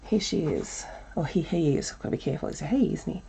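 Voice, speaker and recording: A woman with an Australian accent, teaching a relaxed live drawing lesson while thinking aloud, her voice croaky and breathy from a chest cold. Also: sits low, fast, rough recording. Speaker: art teacher.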